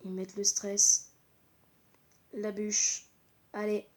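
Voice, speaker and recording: A woman's voice: mid-range voice, monotone, takes it slowly, darkish tone. A woman with a stuffy, congested voice from a cold, giving live gameplay commentary in short, repeated exclamations. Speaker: gaming channel host.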